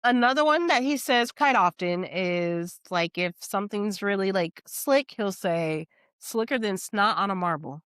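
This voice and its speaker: Texan lady podcast cohost, chatty and animated, pitch all over the place, dry close sound. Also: a little slow, clear, resonant tone, no echo. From Texas, United States. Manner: animated storytelling, quoting people in dialogue. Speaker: podcast co-host. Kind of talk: casual chat.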